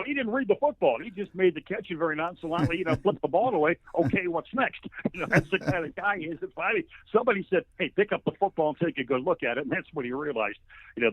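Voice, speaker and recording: A man's voice: on the high side, no room sound. An American man, a play-by-play announcer, talking football as an interview guest in a folksy, talkative storytelling way. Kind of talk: conversational sports commentary interview. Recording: thin phone-like line.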